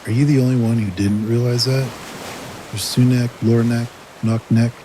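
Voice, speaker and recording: A man's voice: mid-range voice, steady tone, normal speed, close to an everyday voice. A man acting in an audio drama as a blunt, needling travel companion with a sardonic, brusque manner. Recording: loud water noise behind.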